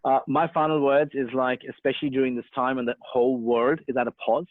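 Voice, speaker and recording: A man's voice: medium pitch, little pitch movement, brisk, no room sound. A man in his thirties with an Australian accent, raised there after an Indian birth, talking at length with lots of "uh"s and restarts. His voice comes through a tinny, phone-like video call line. The sound is dry, with no room around it. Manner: talkative, hesitant with many fillers and restarts. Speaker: interview guest, sales and marketing professional. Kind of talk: casual online interview.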